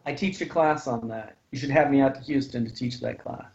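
A man, a former state senator, guest on a podcast, explaining step by step in a measured way with some fillers. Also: mid-range voice, a little mellow. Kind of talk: casual political interview. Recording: dull lo-fi sound.

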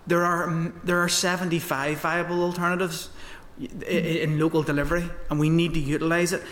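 A man's voice: fairly high pitch, flat delivery, a little fast, a bit bright. A man, giving evidence at length to a committee. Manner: light voice, explains at length. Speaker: youth sector witness. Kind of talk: committee hearing testimony. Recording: echoey hall with faint noise.

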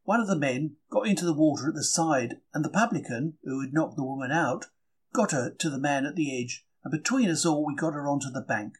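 A man's voice: on the high side, brisk, breathy edge. A man reading a diary as a chatty, wry 1930s London bookseller, droll and anecdotal in manner. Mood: animated storytelling. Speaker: diary reader.

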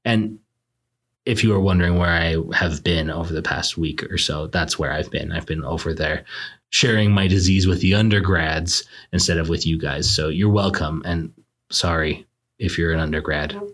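Hoarse, deep-voiced young man joking. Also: moderate pace, fairly bright tone. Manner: self-deprecating, joking, laughs often. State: hoarse, recovering from illness. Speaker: podcast host, law student. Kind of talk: casual monologue and interview.

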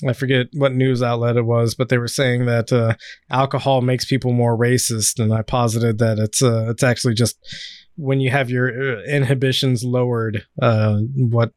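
A man hosting a casual political podcast, talking in halting bursts with many fillers and restarts. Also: medium pitch, little pitch movement, darkish tone, clear, resonant tone, dry recording, clean studio sound. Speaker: podcast host.

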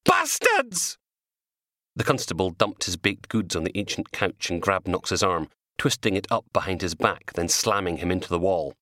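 A man reading his own crime novel as an audiobook, in an animated scripted narration. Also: deep voice, normal speed, hardly any pauses, dry recording, clean studio sound.

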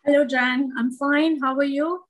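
A woman's voice: sits high, fairly even intonation, a little slow. A middle-aged woman, from Sri Lanka and India, answering questions conversationally as an interview guest.

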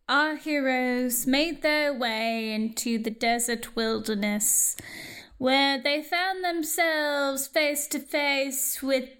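A woman narrates a comic radio play in a high voice. She moves slowly and deliberately, leaving dramatic pauses between phrases. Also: fairly bright tone.

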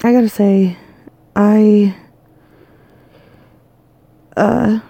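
Exhausted woman murmuring, gravelly and dark. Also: mid-range voice, fairly even intonation, extremely slow, dry recording, muffled recording. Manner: soft, drowsy, half-whispered, rambling. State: exhausted, losing her voice. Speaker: podcast host. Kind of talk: sleepy bedtime monologue. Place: lying in bed at home.

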